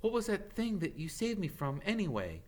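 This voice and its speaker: An anxious young schoolboy, acted by a man reading a storybook, with a raised, very lively pitch. The delivery is curious and questioning, urgent and a little halting. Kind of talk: dramatic audiobook character reading.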